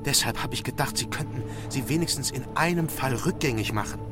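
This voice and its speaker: Insistent male journalist, mid-pitched actor's performance. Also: brisk, natural voice. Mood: indignant, pleading. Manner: earnest, argumentative. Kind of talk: radio drama acting. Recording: music behind the voice. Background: music.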